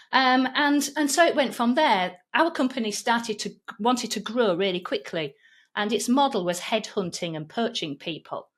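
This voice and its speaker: A woman's voice: fairly high pitch, expressive, a little slow. A woman in her fifties with a Yorkshire accent, a podcast guest telling her career story in a chatty, self-deprecating way with frequent restarts. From England. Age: 50s. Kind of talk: conversational interview storytelling.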